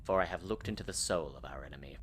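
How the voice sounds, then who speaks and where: A man's voice: rumbling low, fast, slight background noise. A man voicing a grave, ominous cartoon creature in a video game fan dub.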